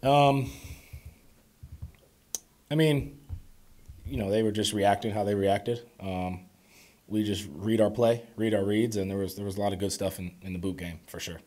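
A young man in his twenties answering reporters at a postgame press conference, calm and measured with many "you know"s, speaking into podium microphones. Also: lowish voice. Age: 20s. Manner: calm, measured, filler-heavy replies. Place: press conference room before a sponsor backdrop.

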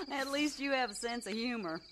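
An actress playing a friendly young carnival girl in a radio drama, speaking in a lively, expressive way. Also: high voice, normal speed, close to an everyday voice. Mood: amused, weary.

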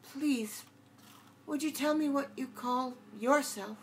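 A man reading aloud and voicing a puzzled, polite young girl in a soft, high voice. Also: takes it slowly, a little hiss or hum, full character voice. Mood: wistful.

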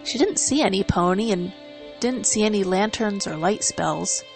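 A woman reading a story as narrator, in a measured, expressive, dramatic style. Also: mid-range voice, low-quality recording. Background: music.

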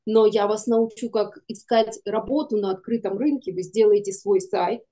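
A woman's voice: mid-range voice, steady tone, normal speed, warm tone. A middle-aged woman chatting emphatically as an interview guest on a video call, often acting out bits of conversation. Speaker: guest, animation studio producer. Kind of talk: casual interview talk. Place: small room indoors, on a video call.

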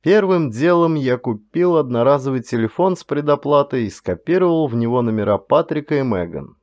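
A man's voice: medium pitch, lively intonation, fairly quick, a little mellow, no room sound, clean studio sound. A man reading a first-person audiobook thriller as a dramatic scripted narration.

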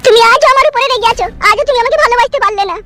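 A woman's voice: very high voice, racing, bright, forceful tone. A woman voicing an aggrieved, plaintive village wife in a comedy cartoon. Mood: hurt, nagging. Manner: plaintive, nagging.

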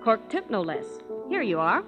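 An actress playing a curious, playful young woman with lively teasing banter in a 1930s radio play. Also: mid-range voice, normal speed, close to an everyday voice. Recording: dull old radio broadcast with music behind.

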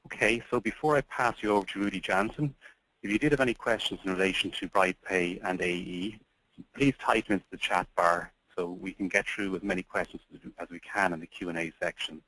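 A man's voice: deep voice, fairly even intonation, a little fast, bright, forceful tone, no echo, poor audio. A man hosting a webinar who reads prepared points and then politely introduces the next speaker. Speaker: webinar host. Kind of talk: scripted webinar presentation.

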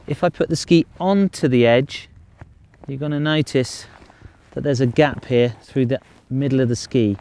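A man's voice: medium pitch, moderate pace, warm tone. A man in his thirties giving a ski lesson outdoors on a snowy slope, explaining in a plain, upbeat way with light jokes. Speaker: ski instructor.

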